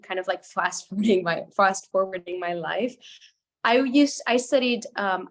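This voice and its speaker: A woman from Spain speaking as an interview guest, telling a personal story in a candid, conversational way. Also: mid-range voice. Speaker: guest speaker, psychologist and life coach. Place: indoors, online video call.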